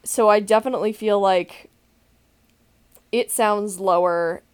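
A young woman with a deep voice, hosting a podcast in a candid, rambling way and laughing now and then mid-sentence. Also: fairly even intonation, a little slow, smooth. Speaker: podcast host. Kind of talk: casual podcast chat.

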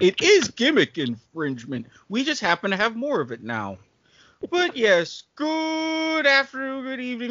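High-pitched, animated male podcast host. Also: no room sound, muffled recording. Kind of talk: casual comedic podcast banter.

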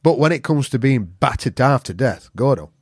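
A man with a Yorkshire accent tells stories as a guest in a casual interview. His delivery is blunt and earthy, peppered with swearing. The voice sits at an average male pitch and is recorded dry and close. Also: animated, a little fast, a little mellow, slightly rough, no echo. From Yorkshire, England. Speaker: interview guest, veteran jiu-jitsu practitioner. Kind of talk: casual anecdotal chat.

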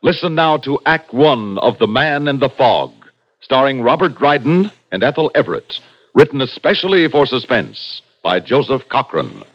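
A man announcing a 1960s radio suspense show in an ominous, theatrical scripted style, with a husky voice. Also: mid-range voice, sing-song, normal speed. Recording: dull old radio broadcast with music.

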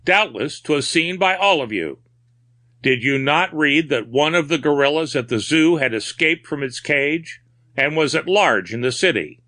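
A man's voice: a little high, lively intonation, a little slow, sharp tone, voice pushed up. A man voicing a gaunt old sea captain in a story, grave and cryptic, with archaic, folksy seafaring phrasing. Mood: grave, ominous.

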